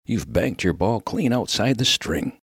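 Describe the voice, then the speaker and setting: A man voicing a rough, good-natured Old West miner with a rustic American accent and a slangy, emphatic way of talking in a humorous story. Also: lowish voice, animated, measured pace, dry recording, clean studio sound, pitched above the performer's own voice, full character voice. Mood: insistent. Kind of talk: dramatic reading of a humorous story.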